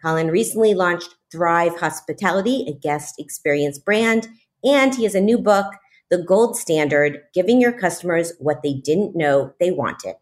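A woman's voice: lowish voice, expressive, moderate pace. A woman hosting an interview podcast, reading introductions and talking with her guest. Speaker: podcast host.

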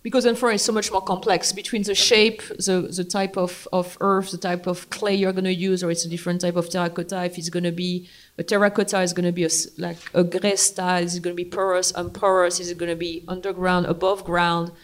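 A woman's voice: fairly low pitch, flat delivery. French woman moderating a wine panel. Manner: chatty, laughing, halting with many fillers. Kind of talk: live panel discussion. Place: event hall.

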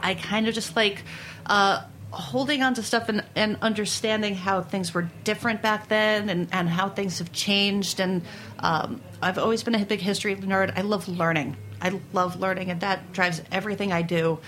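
A woman from Brooklyn, New York, talking candidly and conversationally as an interviewed baker, with animated, sweary storytelling. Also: medium pitch, moderate pace, slight background noise. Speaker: interviewed baker and food writer.

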